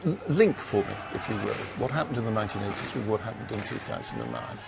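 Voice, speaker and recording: A man's voice: fairly low pitch, huge pitch swings, fast, gravelly, background noise. A man interviewing an author for a news program, asking probing, direct questions.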